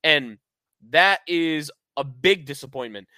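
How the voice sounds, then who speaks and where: A man's voice: fairly high pitch, expressive, slow, pushed and bright, no room sound. A man hosting a sports podcast in a chatty, upbeat radio-style monologue, his voice strained from a cold. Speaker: podcast host.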